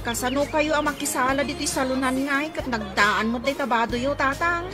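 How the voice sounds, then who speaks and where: Woman acting a high-voiced, chiding grown daughter. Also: fairly quick, lightly acted. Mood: reproachful. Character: concerned, chiding grown daughter of an old village couple. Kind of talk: Ilocano radio comedy drama acting. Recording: loud music under the voice.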